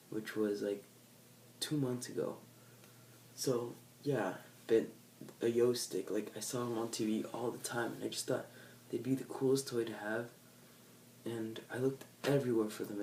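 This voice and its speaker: A nasal teenage boy from California rambles to camera, correcting himself and drifting between thoughts. His voice sits fairly low and a little distant from the microphone, with a faint hum of ambience in the background. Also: mellow. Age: teens. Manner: rambling, self-correcting, laid-back. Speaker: vlogger. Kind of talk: casual unplanned chat to camera. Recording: faint background ambience, set back from the mic.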